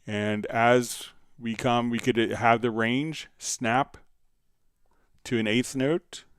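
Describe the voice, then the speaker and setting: A man hosting a casual live software tutorial, talking haltingly as he thinks aloud while demonstrating. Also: medium pitch, steady tone, smooth, no echo, clean studio sound. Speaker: livestream host, software instructor.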